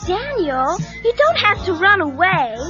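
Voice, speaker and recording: High-voiced, frank American teenage girl. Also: sing-song, measured pace, full character voice. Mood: concerned. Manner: casual, direct. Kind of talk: audio story dramatization. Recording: dull cassette, music and crowd behind.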